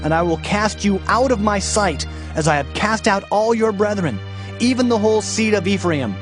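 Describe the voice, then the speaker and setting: A man's voice: high voice, normal speed, faint music behind, close to an everyday voice. A man playing an impassioned prophet who proclaims judgment in a dramatized scripture reading, fervent and emphatic. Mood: stern. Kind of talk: dramatized scripture reading, King James English.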